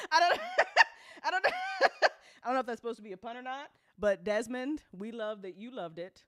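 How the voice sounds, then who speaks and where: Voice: mid-range voice, pushed and bright, no echo, clean studio sound. A host giving a casual livestream update, talking effusively with casual swearing and a giggly, easily amused manner. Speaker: host and audio drama creator. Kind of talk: casual livestream update, reading and answering fan comments.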